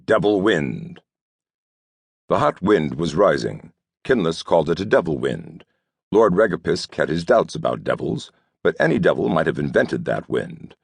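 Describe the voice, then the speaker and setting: A man narrating an audiobook novel in a scripted storytelling style, with a gravelly voice. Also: deep voice, big pitch swings, moderate pace, no echo, clean studio sound.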